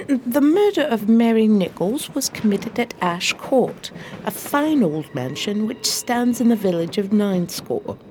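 A woman's voice: on the high side, a little mellow. A woman playing a loyal, deferential police assistant who tells the story in a radio drama, composed and polite. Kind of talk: radio drama narration and dialogue. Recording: faint background noise.

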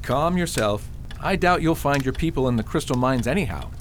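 A man's voice: mid-range voice, expressive, brisk, slight background noise, lightly acted. A man playing a plain-spoken old father in a fantasy radio drama, advising in a matter-of-fact, emphatic way. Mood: frank, firm.

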